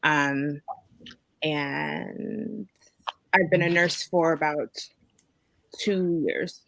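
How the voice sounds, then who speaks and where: A young woman speaks in a low register with a bright edge. She takes her time, halting as she introduces herself on a casual panel. The sound is dry. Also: creaky, no echo, rough recording. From born in Jamaica, raised in Washington DC. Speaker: nurse panelist.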